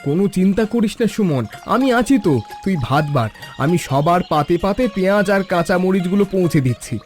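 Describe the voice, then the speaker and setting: A man's voice: sits high, expressive, brisk, faint music behind. A man voicing a hardworking, brotherly village helper in a cartoon story, reassuring and earnest.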